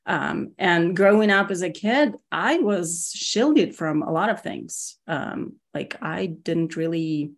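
A woman in her forties from Russia with a Russian accent, speaking casually as an interview guest, relaxed and hesitant with many pauses. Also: on the low side. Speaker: guest, security executive.

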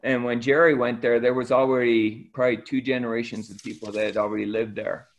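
A man's voice: medium pitch, flat delivery, fairly quick. A middle-aged man from Ecuador, an interview guest telling his story conversationally, on a slightly dull recording. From Ecuador, raised by missionary parents. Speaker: interview guest, missionary aid worker.

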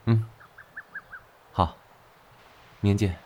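Man playing a slow, low-voiced romantic young stylist. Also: fairly even intonation, noisy background. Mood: earnest. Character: devoted, tender young male stylist in love. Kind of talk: romantic audio drama acting.

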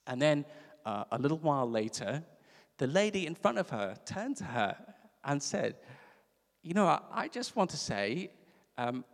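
A man from Africa, preaching a sermon in a conversational storytelling way with emphatic repeats. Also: on the high side. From South Africa. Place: church service. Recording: reverberant church hall.

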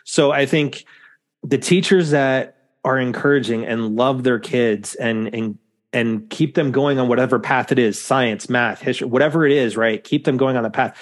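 A man's voice: mid-range voice, moderate pace. Male podcast host with a mid-range voice, easygoing and rambling, full of ums. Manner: rambling, lots of fillers. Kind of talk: casual chat.

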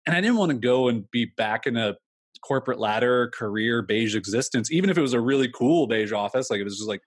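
A man's voice: medium pitch, expressive, fast, breathy edge, dry recording, clean studio sound. A man in his thirties, an entrepreneur talking candidly in an interview, rambling with many fillers. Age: 30s. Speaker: entrepreneur guest. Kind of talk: casual interview talk.

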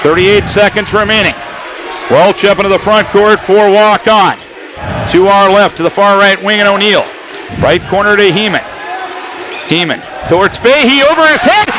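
A man delivers radio basketball play-by-play in a high, bright-pitched voice. He calls the action in rapid bursts that lift into shouts on the big plays. Steady hiss and gym noise sit under his voice. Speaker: play-by-play announcer. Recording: live gym broadcast with crowd noise.

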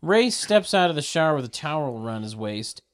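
A man narrating a script's stage directions at a table read, with deadpan, mocking asides. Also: a little high, fairly quick, slight background noise.

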